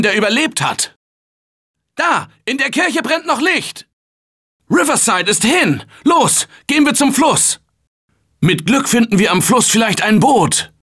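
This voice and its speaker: A male actor playing a confident, energetic survivor in a German video game dub, shouting warnings and calling directions. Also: sits high, slightly rough, heavily acted. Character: upbeat male office worker survivor, confident. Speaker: game character.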